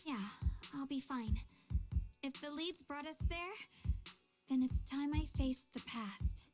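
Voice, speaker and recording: A woman voicing an earnest young swordswoman in game dialogue, speaking in a hesitant, deliberate way. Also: high voice, darkish tone, faint music behind. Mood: quietly resolute. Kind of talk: voiced game story dialogue.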